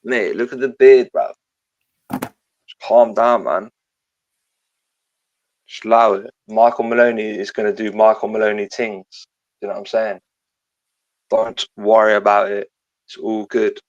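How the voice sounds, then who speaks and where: A man's voice: on the low side, level tone, unhurried, long pauses. A man calling into a stream, dismissive and taunting, full of slang. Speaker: caller. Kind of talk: mocking call-in. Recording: muffled call audio.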